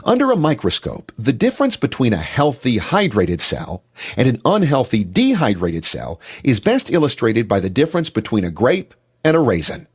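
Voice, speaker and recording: A man's voice: mid-range voice, wildly swooping pitch, normal speed, husky. A man giving a motivational health talk in an emphatic, declarative manner.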